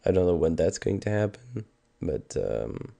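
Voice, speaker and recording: A man's voice: low voice, very animated, unhurried, vocal fry, dark tone. A Canadian man in his thirties, sick with a stuffed nose and sore throat, chatting casually and rambling on a livestream. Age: 30s. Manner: rambling and hesitant, many ums and restarts. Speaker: music streamer.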